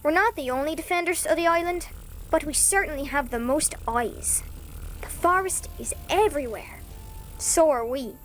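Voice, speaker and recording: A woman's voice: sits high, normal speed, fairly bright tone, slight background noise. A woman playing a tiny, fierce fairy ranger in a fantasy audio drama, feisty, indignant and proud.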